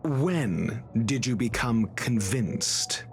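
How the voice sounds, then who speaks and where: An actor playing a suave, arrogant male scholar in a video game, speaking formally and deliberately with cool self-assurance. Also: mid-range voice, expressive, a little hiss or hum. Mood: amused, probing.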